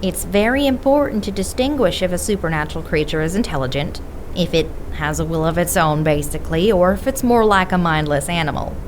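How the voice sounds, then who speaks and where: A woman's voice: lowish voice, a little fast, noisy background, natural voice. A woman playing a stern lore-expert detective. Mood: lecturing. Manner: lecturing, firm. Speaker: occult expert investigator. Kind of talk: audio drama acting.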